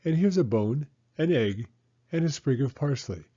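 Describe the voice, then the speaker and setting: A man's voice: mid-range voice, lively intonation, normal speed, dark tone, breathy edge, highs cut off, pitched above the performer's own voice. A man voicing a kindly old grandmother who explains patiently, in a storybook reading. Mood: kindly, explaining.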